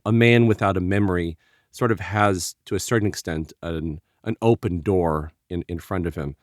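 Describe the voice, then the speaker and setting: A man with a low-pitched voice co-hosts a casual review podcast. He speaks in a measured, conversational way, and the recording is close and dry. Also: no room sound. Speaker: podcast co-host.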